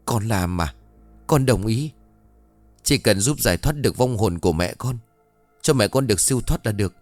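A man's voice: lowish voice, expressive, moderate pace, a little mellow, no room sound, faint music behind. A man voicing a young woman, an earnest and desperate daughter who speaks politely and pleads respectfully. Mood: pleading.

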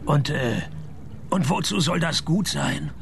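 An actor playing a grumpy, world-weary private detective in a comic radio play, with dry, sarcastic wit. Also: male voice, fairly high pitch, slow pace, vocal fry, background noise. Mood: wary sarcasm. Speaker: detective narrator. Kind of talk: comic radio play acting and first-person noir narration.

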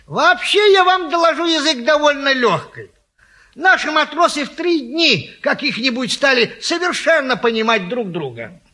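A man's voice: extremely high pitch, very animated, moderate pace, sharp tone, full character voice. An actor playing a boastful, gallant retired naval lieutenant who tells tall tales in a stage comedy, hemming and savoring as he goes. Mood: chatty, boastful. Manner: hesitant hemming, savoring storyteller. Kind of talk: classic stage comedy acting.

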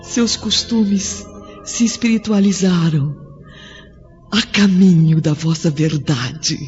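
Slow high-voiced praying spirit, solemn pleading, music behind, muffled audio. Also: male voice, darkish tone. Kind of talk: radio drama acting.

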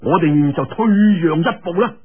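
Guy doing a masked wuxia villain boss, husky, measured and bossy, dull lo-fi recording. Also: on the high side, expressive. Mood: grudging command. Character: masked villain leader, commanding, grudging martial arts fighter. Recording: dull, lo-fi radio-like audio.

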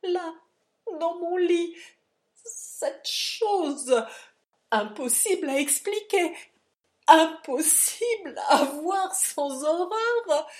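A woman's voice: extremely high pitch, sing-song, slow, pitched above the performer's own voice, heavily acted. A woman reading aloud and voicing a frightened young man who pleads and sobs in despair. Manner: sobbing, pleading.

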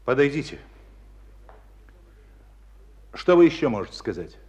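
A man's voice: medium pitch, big pitch swings, quick pace, a little mellow, close to an everyday voice. A man acting in a radio play as a stern, exasperated military school commander, emphatic and reproachful. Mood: brisk, probing. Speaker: actor in a radio drama.

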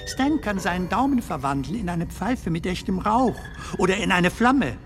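An actor playing a whimsical film comedian stranded in darkness in a German radio drama, musing and storytelling in a halting way. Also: male voice, high-pitched, animated. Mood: playful, musing. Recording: music behind the voice.

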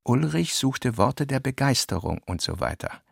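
A male narrator with a gravelly, mid-pitched voice reads literary prose for a radio play. His phrasing is deliberate and dramatic, with pauses between phrases. The sound is dry, with no room on it. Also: sing-song, no room sound.

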